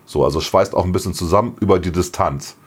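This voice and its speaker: A middle-aged man co-hosting a podcast, slightly hoarse, chatting casually and laughing often. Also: deep voice, fast talker. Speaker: podcast co-host. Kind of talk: casual chat.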